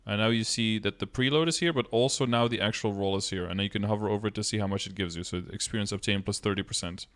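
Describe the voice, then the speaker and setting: A man's voice: on the low side, quick pace, few pauses, a bit bright. Man casually explaining on a live stream. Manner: explanatory, step by step. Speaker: game streamer. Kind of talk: casual live-stream tutorial, answering chat questions. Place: indoor room, streaming setup.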